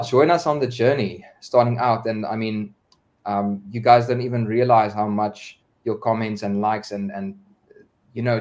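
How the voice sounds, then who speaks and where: A man's voice: fairly low pitch, darkish tone. A man talking as a singer guest on a live-stream video call, earnest, with many fillers and repeated words. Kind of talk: casual live-stream chat.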